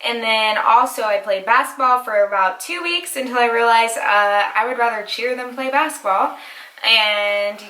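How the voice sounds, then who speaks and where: A woman's voice: fairly high pitch, normal speed, sharp tone. A young woman from the United States chatting casually with her husband on her vlog, rambling and laughing often. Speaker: vlogger. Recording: echoey room.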